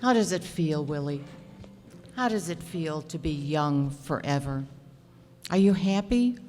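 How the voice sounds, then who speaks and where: A woman's voice: deep voice, expressive, a little slow, a little mellow. A woman acting a reflective, melancholy older woman in a radio play monologue. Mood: wistful, musing. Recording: echoey hall, music behind.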